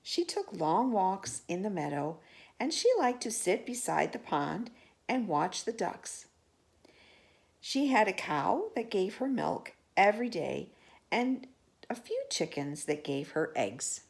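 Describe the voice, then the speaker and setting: A woman storyteller reading a children's picture book aloud expressively, pausing to ask the listener questions. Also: mid-range voice, gravelly.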